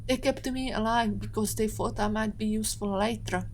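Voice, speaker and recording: A woman playing a composed, guarded captive agent in an audio drama, with measured and formal phrasing. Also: mid-range voice, steady tone, a little hiss or hum, lightly acted. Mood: grave, explaining.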